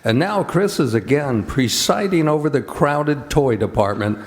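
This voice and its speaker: A man's voice: on the high side, lively intonation, measured pace. A man playing the narrator of a radio drama, reading scripted storytelling. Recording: live hall reverb.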